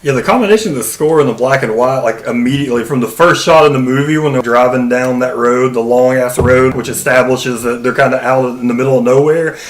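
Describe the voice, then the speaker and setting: A man's voice: mid-range voice, fast, hardly any pauses, roomy sound, low-quality recording. A man co-hosting a podcast, chatting eagerly and saying "like" a lot. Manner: enthusiastic, fills talk with "like". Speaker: podcast co-host. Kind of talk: casual chat.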